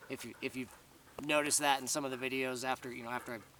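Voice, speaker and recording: Rambling man, bright voice, distant mic. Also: mid-range voice, fairly even intonation, fast talker, slight background noise. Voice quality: slightly raspy after long talking. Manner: meandering, many fillers and restarts. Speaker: vlogger. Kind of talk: casual rambling monologue to camera.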